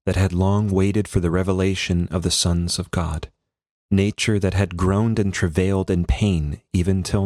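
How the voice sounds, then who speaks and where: A man reading a religious text aloud as a priest, in a solemn, measured and formal narrating style. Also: deep voice, no room sound, clean studio sound. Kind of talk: solemn scripted devotional narration.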